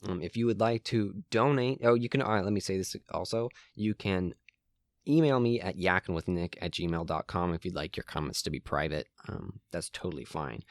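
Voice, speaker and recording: A young man talks through his solo podcast from his room in a very low, slightly hoarse voice that cracks now and then. He rambles casually, stopping for ums and restarting sentences. The sound is dry and close, with no room echo. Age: young adult. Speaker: podcast host.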